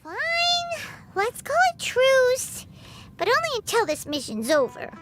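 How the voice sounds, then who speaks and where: A woman voicing a tiny childlike fairy companion in an anime video game, squeaky, cheeky and given to indignant exclamations. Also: wildly swooping pitch, slow pace, heavy background noise, heavily acted. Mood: grudging. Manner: exclaims, speaks of herself in third person. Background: game music.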